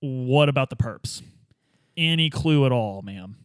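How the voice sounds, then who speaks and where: A man's voice: mid-range voice, animated, a little slow, clean tone, no echo, studio quality. A man playing a tabletop game, chatting and improvising casually in an informal, bantering way. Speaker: tabletop player. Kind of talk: casual improvised roleplay chat.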